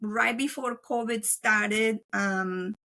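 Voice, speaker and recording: Chatty woman with a Colombian accent, gravelly. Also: a little high, steady tone, slow pace, sharp tone. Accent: Colombian Spanish. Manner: chatty, rambling, many "like" fillers. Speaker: guest, veterinary technician and instructor. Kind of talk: casual interview chat. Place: home setup, remote recording. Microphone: video call.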